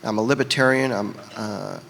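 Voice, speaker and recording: A man's voice: lowish voice, steady tone, moderate pace, creaky, fairly bright tone. A man speaking earnestly as a panel guest and candidate, hesitating often with fillers. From United States. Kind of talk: campaign-style panel talk. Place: conference hall. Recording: reverberant hall with faint noise.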